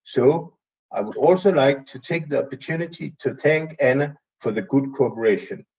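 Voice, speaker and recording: A man from Denmark with a Danish accent, giving a measured, somewhat halting introduction at an online event. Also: medium pitch, slightly rough. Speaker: city official introducing a speaker. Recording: distant muffled mic.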